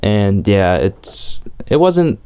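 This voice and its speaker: The laid-back young guy you would meet resting on his bike, answering questions in slang, his voice lowish and mellow. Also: big pitch swings, very slow, smooth. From El Salvador, long resident in New York. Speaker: interviewee, amateur BMX rider. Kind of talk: casual chat.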